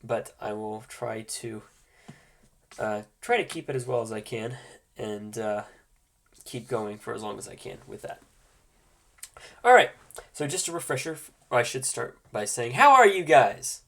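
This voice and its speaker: A man's voice: medium pitch, animated, a little slow, vocal fry, pauses often, a bit bright. Congested man running a tabletop game. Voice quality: slightly congested, nasal from a cold. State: congested from a cold. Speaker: game master. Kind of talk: recapping and hosting a tabletop roleplaying game.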